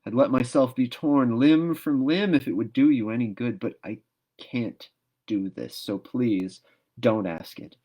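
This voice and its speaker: A man's voice: medium pitch, unhurried, lo-fi sound, voice pushed up. A solemn little schoolgirl with an iron will voiced by a man, from a storybook. She speaks earnestly and dramatically, with reproach and a somewhat lifted voice. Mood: sad, resolute.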